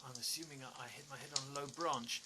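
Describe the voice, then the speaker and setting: A man playing a confused, injured, apologetic stranger in an audio play, halting and stammering. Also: medium pitch, fairly even intonation, lightly acted. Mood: confused, shaken. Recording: clearly noisy background.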